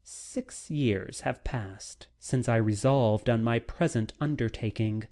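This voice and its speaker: A man narrating a classic novel as a dramatic audiobook, in a measured, deliberate manner with frequent pauses. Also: medium pitch, dark tone.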